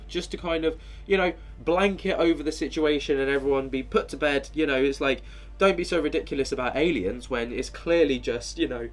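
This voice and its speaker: A man with a head cold and a nasal, stuffy voice, rambling casually as a channel host with many fillers. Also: fairly high pitch. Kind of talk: casual commentary on a video.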